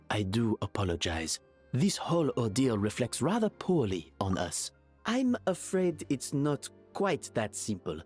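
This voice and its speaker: A courteous, apologetic hotel manager. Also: male voice, mid-range voice, big pitch swings, slow, a little mellow, slightly rough, soft background music, exaggerated voice. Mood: polite. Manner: courteous, formal, a little flustered. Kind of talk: video game voice acting.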